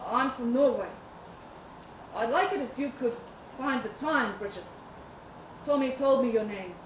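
Courteous, hesitant Norwegian fisherman, played by a female reader, unhurried; live story reading, roomy and slightly distant. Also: fairly high pitch, lightly acted. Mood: shy, earnest. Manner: slow, halting, short replies. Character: slow-spoken young Norwegian fisherman, shy and courteous. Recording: roomy, distant mic with audible noise.

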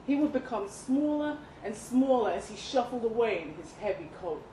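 A woman reading a literary short story aloud at a live event, with expressive, deliberate phrasing and dramatic pauses. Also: on the high side. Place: indoor live reading event at a pub venue. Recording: roomy live venue, some background noise.

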